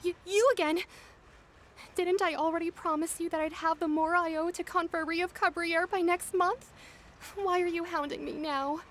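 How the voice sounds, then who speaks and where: High-voiced earnest young woman engineer. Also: fairly even intonation, moderate pace, fairly bright tone. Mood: flustered. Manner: earnest, explanatory. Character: earnest young female workshop engineer, explanatory, easily flustered. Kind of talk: anime video game voice acting.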